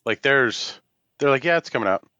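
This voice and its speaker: A man's voice: medium pitch, animated, moderate pace, bright, forceful tone, clear, resonant tone, no echo. A man chatting casually as a podcast co-host. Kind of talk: casual chat. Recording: muffled, dry, band-limited microphone sound.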